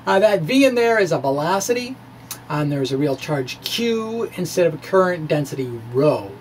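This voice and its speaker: A middle-aged man giving an informal lecture in a halting, hesitant way with wry asides. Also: fairly high pitch. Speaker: physics blogger and lecturer. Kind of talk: informal physics lecture with derivations. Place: small indoor room with bookshelves. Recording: clear steady background noise.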